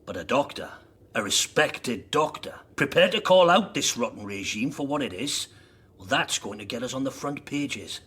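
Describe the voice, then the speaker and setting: Husky, forthright union man. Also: mid-range voice, animated, normal speed, a bit bright. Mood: persuasive. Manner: forthright, rhetorical, persuasive. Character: blunt, fervent socialist Welsh miners' union man. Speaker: actor in radio drama. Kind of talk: radio drama acting.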